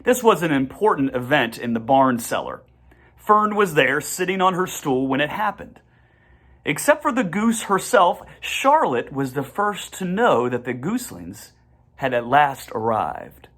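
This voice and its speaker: Someone reading a kids book out loud, real slow and deliberate, mid pitch voice, trips up now and then. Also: sing-song. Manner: measured, deliberate phrasing with occasional stumbles. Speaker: reader. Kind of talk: children's storybook read-aloud.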